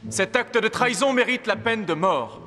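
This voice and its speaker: A man's voice: high-pitched, expressive, normal speed. An actor playing an earnest, noble young king in a fairy-tale cartoon, speaking in a courtly, emotive way. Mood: apologetic, solemn.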